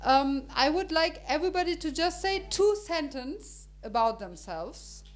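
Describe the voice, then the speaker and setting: High-voiced chatty woman moderator. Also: lively intonation, measured pace. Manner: chatty, hesitant, many fillers and restarts. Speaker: panel moderator. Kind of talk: informal event moderating.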